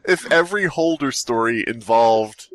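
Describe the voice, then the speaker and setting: Animated man riffing on a podcast. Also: medium pitch, normal speed. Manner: jokey, profane, riffing. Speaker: podcast host. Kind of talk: comedic group chat and reading horror stories aloud.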